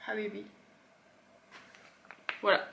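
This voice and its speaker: A young woman chatting casually as she unboxes beauty products at home, with quick asides and murmured afterthoughts. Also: lo-fi sound, a little hiss or hum. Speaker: beauty vlogger.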